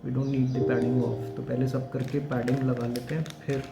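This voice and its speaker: A man's voice: mid-range voice, little pitch movement, a little slow, creaky, loud music behind the voice. An Indian man giving a casual product walkthrough, switching often between two languages as he explains each step. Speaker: motovlogger and product reviewer.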